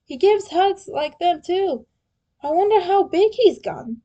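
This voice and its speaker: A woman voicing a wounded young male turtle in a story, teasing and sentimental, with an emotional, halting delivery. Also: very high-pitched, lively intonation, mellow, muffled recording, voice pushed up. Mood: wistful.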